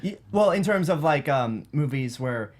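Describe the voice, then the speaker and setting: A man's voice: fairly high pitch, expressive, moderate pace. A man chatting casually as a panelist on a film discussion show. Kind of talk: casual chat.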